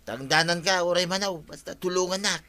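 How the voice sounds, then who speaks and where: Stubborn Filipino villager, piercingly bright voice. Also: male voice, high voice, fairly quick. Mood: pleading. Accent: Filipino, Ilocano. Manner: argumentative, defensive, colloquial. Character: stubborn, brazen male villager, defensive and excuse-making. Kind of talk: Ilocano radio comedy drama acting.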